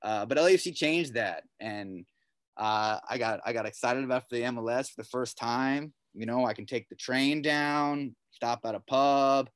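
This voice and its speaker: An American man chatting casually as a guest, relaxed and full of "you know" and "like.". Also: mid-range voice, moderate pace, no room sound. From United States. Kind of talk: casual chat.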